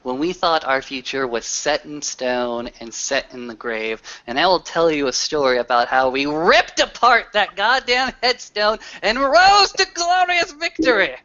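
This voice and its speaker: A man's voice: on the high side, lively intonation, unhurried, hardly any pauses, bright, forceful tone, highs cut off. A man playing an earnest, grandiloquent werewolf storyteller with a formal, oratorical delivery in a tabletop roleplay. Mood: dramatic. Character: grandiloquent male werewolf storyteller, earnest, rallying his pack.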